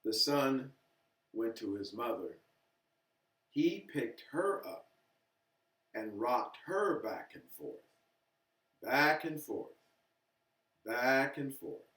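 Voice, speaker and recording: A man reading a children's story aloud expressively and deliberately, pausing between short phrases. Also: medium pitch, big pitch swings, a bit bright. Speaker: storybook reader. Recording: echoey room, distant from mic.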